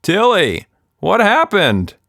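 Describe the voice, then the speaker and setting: Man acting easygoing storybook dad, lifted pitch. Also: wildly swooping pitch, takes it slowly, gravelly, a bit bright, no room sound, clean studio sound, full character voice. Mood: concerned. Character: easygoing, puzzled father in a children's story.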